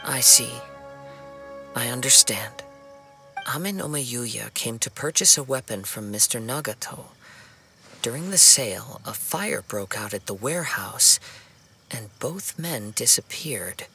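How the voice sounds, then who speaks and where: A man playing a polite, composed young investigator in a video game, speaking formally and deliberately as he reasons. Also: medium pitch, expressive, gravelly, bright, forceful tone, faint music behind, exaggerated voice. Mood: calm, thoughtful.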